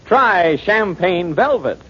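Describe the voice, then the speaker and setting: A man's voice: sits high, expressive, slow pace, pushed and bright, husky. A man announcing a commercial in 1940s radio advertising style. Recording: muffled 1940s radio recording.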